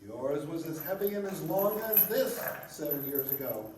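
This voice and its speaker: A man's voice: on the high side, animated, moderate pace, warm tone, a little hiss or hum, close to an everyday voice. A man playing the solemn, remorseful ghost of a dead businessman in a radio play, declaiming mournfully. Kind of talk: stage radio-play acting. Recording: very echoey room, far from the mic.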